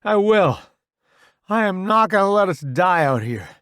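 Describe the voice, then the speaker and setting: A man voicing a determined, protective soldier in an audiobook, speaking bluntly and forcefully. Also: on the high side, lively intonation, normal speed, dry recording, studio quality, voice pushed up. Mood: fierce, determined. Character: determined, weary male soldier, gruff and protective.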